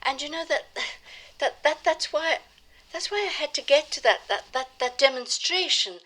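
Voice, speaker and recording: A woman's voice: high voice, moderate pace, bright, forceful tone. A woman playing an earnest, naive young activist granddaughter in an audio drama, stammering and hesitating in her eagerness. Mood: eager, flustered.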